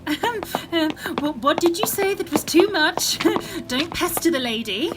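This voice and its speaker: A woman's voice: very high voice, lively intonation, unhurried, very noisy. An actress playing a gushing, nervy, theatrical lady novelist in an audio drama, fluttery and melodramatic. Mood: flustered, apologetic.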